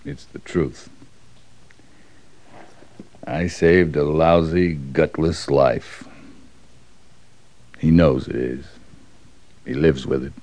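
An actor playing a hard, bitter middle-aged cavalry officer in a radio Western, with a clipped, restrained way of talking. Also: male voice, very deep voice, fairly even intonation, very slow, long pauses, a little mellow, heavily acted. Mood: bitter, brooding. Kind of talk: old-time Western radio drama acting. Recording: dull, band-limited old radio recording.

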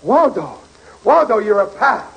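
An actor playing a blustering, hapless, good-natured American working-class husband, stammering in old radio comedy style. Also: male voice, high voice, sing-song, a little slow, vocal fry, fairly bright tone, slightly rough, exaggerated voice. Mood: excited. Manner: stammering, flustered, folksy. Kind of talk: 1940s-50s radio comedy acting. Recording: muffled, noisy old radio recording.

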